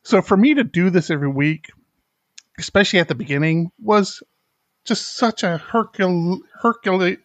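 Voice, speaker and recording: A middle-aged man hosts a podcast, chatting candidly and haltingly, with a slight speech impediment and frequent restarts. His voice sits a little high, and the recording is dry and close, with no room sound. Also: no echo. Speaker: podcast host. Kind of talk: casual chat.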